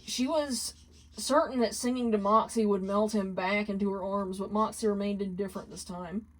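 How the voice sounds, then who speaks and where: A woman's voice: medium pitch, fairly even intonation, normal speed, low-quality recording. A woman reading fan fiction aloud as scripted narration. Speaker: story reader.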